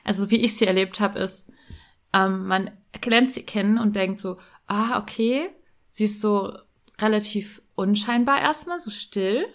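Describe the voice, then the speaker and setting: A woman's voice: medium pitch, measured pace. A woman hosting a podcast, chatty and teasing with her guest. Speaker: podcast host. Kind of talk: casual podcast interview.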